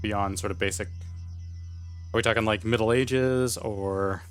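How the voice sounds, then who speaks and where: A man's voice: lowish voice, steady tone, slow pace, faint music behind. A man chatting casually as a player in a tabletop roleplaying game.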